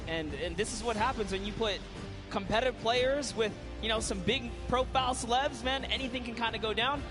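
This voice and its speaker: A man co-casting a live esports match, hyped on the play-by-play and relaxed in banter. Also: very high voice, brisk, over music. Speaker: esports co-caster.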